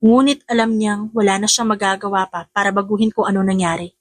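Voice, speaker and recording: A woman's voice: medium pitch, steady tone, fairly quick, dry recording, studio quality. A woman with a Filipino accent reading a scripted romance story as an audiobook narrator.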